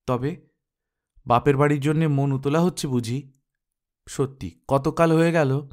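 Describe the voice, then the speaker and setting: A sensible older sister-in-law voiced by a male storyteller talks in a familiar, coaxing way. The voice is medium-pitched and full of concern, as in a dramatized audiobook. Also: fairly even intonation, measured pace, close to an everyday voice. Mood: concerned, teasing.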